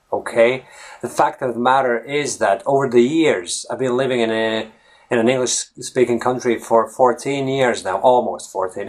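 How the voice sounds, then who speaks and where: A man talks casually to camera about learning English, speaking as a coach to his viewers. He drifts off topic, circles back, and keeps checking in with a quick "right?" or "okay?". He sounds like he is recording in his home office. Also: medium pitch. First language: Latvian. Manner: rambling, veers off topic, tags lines with "right?".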